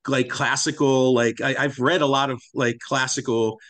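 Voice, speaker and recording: A man hosting a podcast discussion, speaking in a halting, reflective way with philosophical phrasing. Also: mid-range voice, fairly even intonation, a bit bright. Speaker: podcast host.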